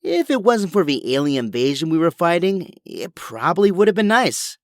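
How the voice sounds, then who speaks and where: A man's voice: fairly high pitch, very animated, moderate pace, dry recording, clean studio sound. A man playing an earnest, brainy young hero with a geeky, kindly manner in an audio drama. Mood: curious, impressed.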